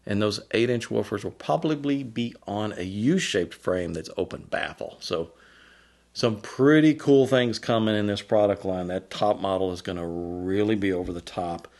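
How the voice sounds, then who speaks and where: Male product designer, fairly deep voice, informal explanatory presenter, enthusiastic and colloquial. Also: normal speed, a little mellow.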